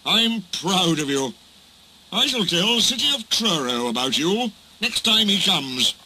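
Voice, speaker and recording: A man as a dignified, bright-voiced railway boss. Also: sits high, big pitch swings, slight background noise, pitched above the performer's own voice, full character voice, low-quality recording. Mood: kindly. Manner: impressive, measured pronouncements. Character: dignified, authoritative, kindly male railway controller.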